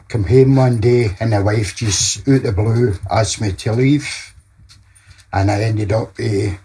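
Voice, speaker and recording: A man's voice: medium pitch, darkish tone, a little hiss or hum. A middle-aged Scottish man giving a plain-spoken personal testimony, often ending phrases with small tags like "you know". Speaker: interviewee sharing a personal story.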